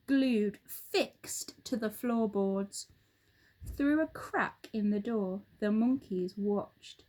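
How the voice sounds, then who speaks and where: A woman reads a children's book aloud. Her voice is high and very lively in its rise and fall. She takes her time, phrasing each line deliberately for drama. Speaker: storyteller. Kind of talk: expressive children's story reading.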